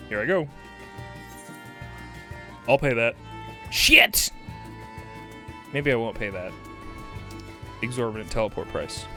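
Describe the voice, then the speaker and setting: A man's voice: medium pitch, a bit bright. A man hosting a game livestream, chatting casually and exclaiming often, with sweary asides. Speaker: livestream host.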